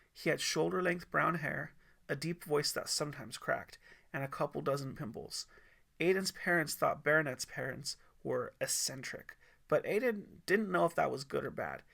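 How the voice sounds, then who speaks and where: A man's voice: a little high, moderate pace, vocal fry, pushed and bright. A man reading scripted story narration as the narrator of his own audiobook.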